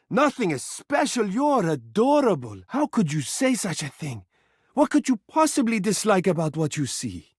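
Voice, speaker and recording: Swooping, gushing, theatrical charmer. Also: male voice, high voice, dry recording, clean studio sound, exaggerated voice. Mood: doting, insistent. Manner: effusive, dramatic, gushing, doting. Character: flattering, adoring, theatrical living mirror, suave male charmer. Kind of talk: video game character voice acting, romantic.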